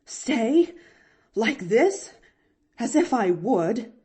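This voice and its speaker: A woman's voice: on the high side, big pitch swings, extremely slow, warm tone, husky, pitched above the performer's own voice, heavily acted. A woman voicing a stern, easily flustered middle-aged aunt in an audiobook, scolding and protesting indignantly. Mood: scornful. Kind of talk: audiobook character voice.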